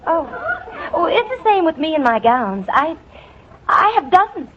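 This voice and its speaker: A gushing, posh-pretending young date. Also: female voice, sits high, sing-song, unhurried, a faint crowd. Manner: breathless and gushing. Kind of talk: 1940s radio comedy acting. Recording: dull old radio broadcast with studio audience and noise.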